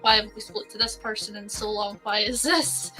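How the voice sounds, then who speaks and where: A Scottish woman co-hosting a casual business podcast, chatty and quick to laugh. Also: medium pitch, sharp tone, faint music behind, poor audio. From Scotland. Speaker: co-host, designer.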